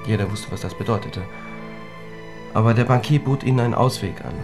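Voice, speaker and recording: An actor playing a sober scholarly man in a German radio drama, recounting a tragic history deliberately and precisely. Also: on the low side, natural voice. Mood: grave, explanatory. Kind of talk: German radio drama dialogue, explanatory storytelling. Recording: studio radio play with music.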